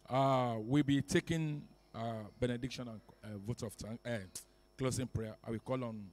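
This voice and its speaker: A man's voice: medium pitch, fairly even intonation, moderate pace, a little mellow. A young man hosts a memorial church service, giving earnest announcements and repeated thanks into a handheld microphone in a large wood-panelled church hall.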